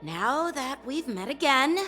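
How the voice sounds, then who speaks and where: A woman's voice: sits high, sing-song, sharp tone, soft background music, exaggerated voice. Woman voicing a hot-headed teenage boy swordsman. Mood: indignant. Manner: indignant, quick to anger, formal. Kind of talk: game cutscene voice acting.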